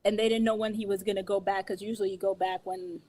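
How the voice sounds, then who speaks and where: A woman raised in New York, a guest on a relaxed radio interview, playful and laughing as she tells stories and repeats her punchlines. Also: medium pitch, fast. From New York, United States.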